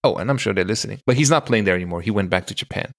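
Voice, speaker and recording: Male podcast co-host, lowish pitch, very dry sound, casual conversational storytelling. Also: rapid-fire, vocal fry, no echo.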